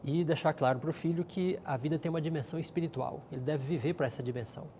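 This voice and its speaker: A man's voice: a little high, fast talker, dark tone, slight background noise. A man with a Brazilian accent giving explanatory commentary as a guest in a discussion. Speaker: guest panelist.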